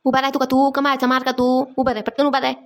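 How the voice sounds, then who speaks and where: Woman as cartoon village mom, high, extremely quick, nagging. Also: monotone, a little hiss or hum. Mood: angry, scolding. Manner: nagging, rapid repeated orders. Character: scolding, busy village mother. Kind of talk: Marathi comedy cartoon dialogue.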